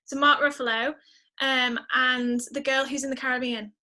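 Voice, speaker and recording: A woman's voice: high voice, level tone, normal speed, pushed and bright. A woman chatting casually as a guest, emphatic and thinking aloud as she goes. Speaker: podcast guest, singer-songwriter. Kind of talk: casual chat.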